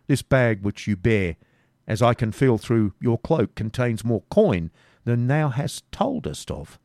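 A man's voice: medium pitch, very animated, a little slow, gravelly, a little mellow, no room sound, studio quality. A man voicing a bold, mocking outlaw leader who gives harsh commands. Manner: taunting, commanding.

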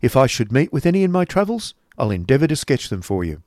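A man's voice: mid-range voice, sing-song, quick pace, a little mellow, dry recording, studio quality, voice pushed up, natural voice. Man voicing an earnest polite young man. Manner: courteous, brave, mildly wry.

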